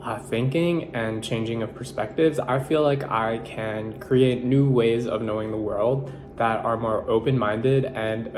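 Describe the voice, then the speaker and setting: Young man from the Philippines, Tagalog first language, mid-pitched, earnest nursing-student reflection, faint hum. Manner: earnest, measured, with self-corrections and fillers. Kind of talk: reflective personal monologue.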